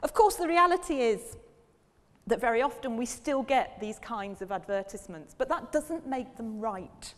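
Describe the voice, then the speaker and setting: A woman's voice: fairly high pitch, expressive, normal speed. A woman professor giving a public lecture on language teaching, asking the audience questions as she goes. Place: lecture hall. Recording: long reverb of a lecture hall.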